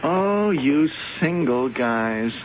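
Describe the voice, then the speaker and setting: Slow-drawling joker cop, very swooping, noisy old broadcast. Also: male voice, mid-range voice, lively intonation. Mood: wry. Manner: jokey, wisecracking, then panicked. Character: joking male police detective, easygoing. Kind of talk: 1970s radio drama acting. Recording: dull old radio broadcast with loud noise.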